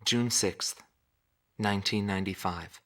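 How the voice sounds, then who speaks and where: An actor playing a sober, probing documentary narrator, reading a script in a grave, deliberate manner. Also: male voice, lowish voice, very noisy, close to an everyday voice. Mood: grave, reflective. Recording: prominent guitar music under narration.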